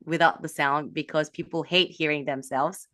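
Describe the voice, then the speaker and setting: A woman from a Malaysian background, a business coach, talking in an animated way with quick bursts and restarts. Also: sits low, dry recording, studio quality. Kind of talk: casual interview talk.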